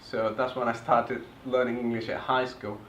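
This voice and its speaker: A man's voice: medium pitch, little pitch movement, normal speed, a bit bright, slight background noise. Bulgarian-accented young male presenter, earnest plain delivery, distant mic in a reverberant function room. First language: Turkish. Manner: earnest, plain speech, sometimes broken grammar. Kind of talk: public talk telling his story. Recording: far-off, echoey, dull room recording.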